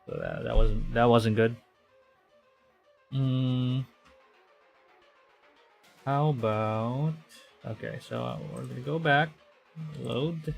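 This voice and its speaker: A man from the Philippines streaming a game, thinking aloud in a halting way and reading story text aloud. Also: mid-range voice, steady tone, creaky, slightly rough, dull audio. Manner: halting, thinking aloud, stumbling over read lines. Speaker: VTuber streamer. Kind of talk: live game playthrough commentary, reading visual novel text aloud. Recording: background game music. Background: game music.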